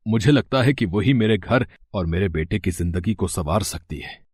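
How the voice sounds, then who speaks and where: A man playing a kind, wealthy middle-aged village landlord, measured, earnest and reassuring, in a moral story drama. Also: deep voice, lively intonation, darkish tone, husky, dry recording, studio quality.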